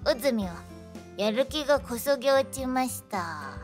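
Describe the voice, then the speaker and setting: 関西弁で話す、甘いもの好きで元気いっぱいの小さな女の子を、女性声優がゲームのラジオドラマで演じている。. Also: female voice, high-pitched, expressive, faint music behind, voice pushed down. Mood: dejected. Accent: Kansai. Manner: exclamatory, dramatic, drawn-out reactions. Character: excitable, sweet-toothed little girl with playful temper. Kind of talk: animated game radio drama acting.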